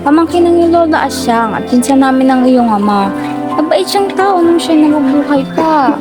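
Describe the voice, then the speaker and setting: A woman's voice: sits high, lively intonation, normal speed. A woman acting a motherly aunt travelling home in a radio drama. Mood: reminiscing. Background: loud music.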